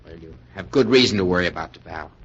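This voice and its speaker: A man's voice: sits low, lively intonation, fast talker, a bit bright, husky, exaggerated voice. An actor playing an earnest, suspicious suitor in a 1940s radio thriller, urgent and insistent in his delivery. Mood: grim, warning. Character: suspicious, jealous, earnest male suitor warning of danger. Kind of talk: 1940s radio suspense acting. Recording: muffled 1940s radio recording.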